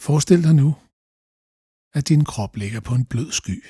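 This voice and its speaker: A man guides a meditation slowly and calmly, giving gentle instructions with long pauses. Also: medium pitch, breathy edge, dry recording, clean studio sound.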